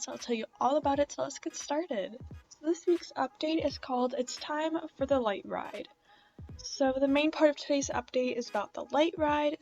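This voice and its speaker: High-voiced woman, casual gaming host. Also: muffled recording. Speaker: gaming video creator and host. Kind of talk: casual gameplay commentary with read-aloud quest text.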